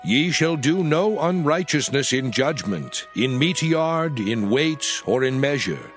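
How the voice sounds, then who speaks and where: A man playing God as a stern lawgiver, declaiming archaic scripture in a solemn, measured, emphatic way. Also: medium pitch, very animated. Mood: solemn, commanding. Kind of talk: dramatized scripture reading in archaic English. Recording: music under the voice. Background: music.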